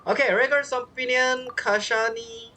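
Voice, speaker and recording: A woman's voice: fairly high pitch, fairly even intonation, moderate pace, sharp tone, slight background noise. A woman who is not a native English speaker, giving casual game commentary and thinking aloud. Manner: thinks aloud, muttering reactions. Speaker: gameplay streamer. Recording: game music behind commentary.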